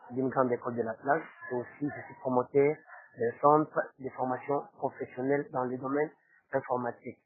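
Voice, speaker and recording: A husky-voiced man with a Congolese accent answers as a spokesman. He speaks formally but hesitantly, often tagging his phrases with small questions. The recording is tinny and narrow, like a phone line. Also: mid-range voice. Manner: hesitant, with frequent tag questions. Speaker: interview guest, foundation spokesman. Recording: roomy, tinny phone-like sound.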